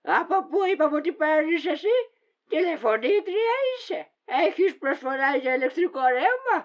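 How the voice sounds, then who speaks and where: A woman's voice: high voice, sing-song. A woman playing a cranky, vain old grandfather in a comic audio drama, talkative and querulous. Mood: indignant. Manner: querulous, indignant, teasing. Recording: dull lo-fi audio.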